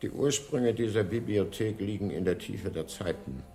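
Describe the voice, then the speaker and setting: An actor playing a gaunt, stern, aloof monastery librarian in a radio play, speaking in a solemn, measured, formal way. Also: male voice, on the low side, mellow, lightly acted. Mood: solemn, guarded. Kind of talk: German radio play acting. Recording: faint noise, 1980s radio play.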